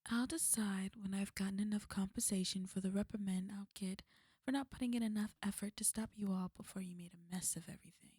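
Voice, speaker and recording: A woman's voice: medium pitch, fairly even intonation, fairly quick, dark tone, husky, no echo, studio quality, close to an everyday voice. A woman playing a flirty, possessive villain in an ASMR roleplay, speaking intimately to the listener in a teasing, commanding way. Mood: teasing, casual.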